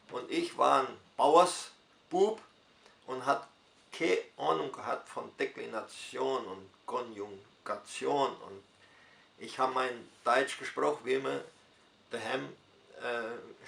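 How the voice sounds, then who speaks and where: Older male pastor, Hunsrückisch German accent, gravelly voice, reverberant room. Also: medium pitch, fairly bright tone. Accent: Hunsrückisch German of southern Brazil. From southern Brazil. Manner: unhurried storytelling with dialect forms and hesitations. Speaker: retired pastor interviewee. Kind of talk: personal life story in interview.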